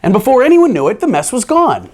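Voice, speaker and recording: A man reading a children's picture book aloud, playful and expressive, dropping into dry, deadpan asides. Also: very high-pitched, fairly quick. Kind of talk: animated storybook reading with wry asides.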